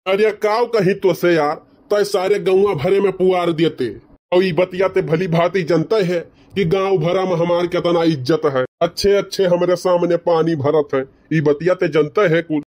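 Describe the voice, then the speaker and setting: A man plays a boastful village fellow from a comic cartoon. His voice sits high for a man, and he argues in colloquial, rustic banter with an Awadhi accent from eastern Uttar Pradesh. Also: steady tone, moderate pace, a little mellow, natural voice. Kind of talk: comedy cartoon dialogue.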